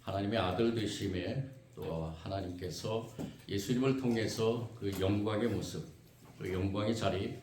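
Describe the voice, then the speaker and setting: A man preaching a sermon as pastor, hoarse from a cold, earnest and steady with frequent filler sounds. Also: on the low side, normal speed. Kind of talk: sermon with responsive scripture reading. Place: church sanctuary. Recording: echoey church room, faint noise.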